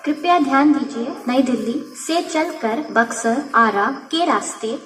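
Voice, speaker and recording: Echoey high-pitched Indian woman station announcer. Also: expressive. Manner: formal, measured, stitched-together phrases. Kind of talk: scripted public announcement in Hindi and English. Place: railway station, announcement heard over PA speakers. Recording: echoey station loudspeaker, distant mic, music.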